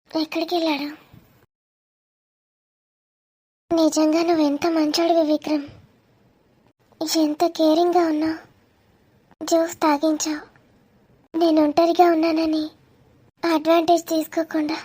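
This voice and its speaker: Woman voicing a very high-pitched girlish sweetheart. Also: dark tone. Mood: admiring, hesitant. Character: shy, affectionate young woman in love. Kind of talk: cartoon romance dialogue.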